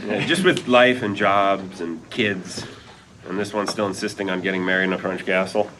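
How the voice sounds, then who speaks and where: A fairly low, relaxed voice reading an easygoing friendly guy, read by a man at a table read. A layer of room noise hangs behind. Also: normal speed, natural voice. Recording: noisy room recording.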